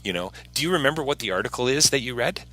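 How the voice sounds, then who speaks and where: A man's voice: medium pitch, expressive, rapid-fire, sharp tone. A man hosting a casual interview podcast, talking in an earnest, encouraging way.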